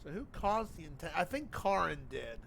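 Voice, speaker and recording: Guy streaming games, rambly casual talk, kinda higher voice, noisy background. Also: big pitch swings, normal speed, creaky, fairly bright tone. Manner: rambling, conversational. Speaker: game streamer. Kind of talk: casual livestream commentary.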